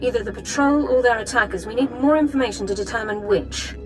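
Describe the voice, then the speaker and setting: A woman's voice: on the high side, expressive, fast talker, lightly acted. A woman playing a strict by-the-book sergeant. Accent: Imperial-sounding. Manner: formal, precise, clipped military reports. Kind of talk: video game cutscene voice acting. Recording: game audio with music bed.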